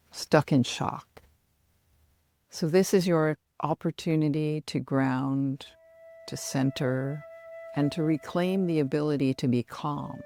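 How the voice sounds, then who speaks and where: A middle-aged woman guiding a relaxation meditation in a soothing, measured manner with long pauses. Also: extremely low pitch, dark tone. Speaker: yoga nidra meditation guide.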